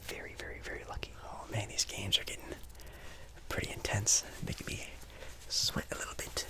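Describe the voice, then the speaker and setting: A man whispering an ASMR roleplay as a friendly, apologetic casino dealer, breathy and chatty, with polite, hesitant reassurance. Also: fairly quick, sharp tone.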